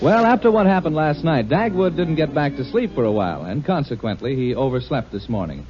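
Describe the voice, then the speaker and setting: A man announcing a radio program and bridging scenes, genial and relaxed. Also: a little high, fairly quick. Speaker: show announcer. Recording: dull lo-fi 1950 radio recording with music.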